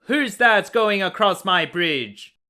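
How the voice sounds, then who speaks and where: A man voicing a big, angry, bullying troll in a children's storybook, taunting and menacing with a booming, forceful delivery. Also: lively intonation, full character voice. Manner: taunting, menacing, drawn-out questions.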